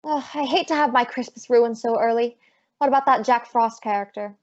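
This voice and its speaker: A woman's voice: a little high, brisk, natural voice. A young woman playing a spirited female private detective, breezy and wry, in old-time radio detective style. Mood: probing. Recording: dull lo-fi audio, very dry.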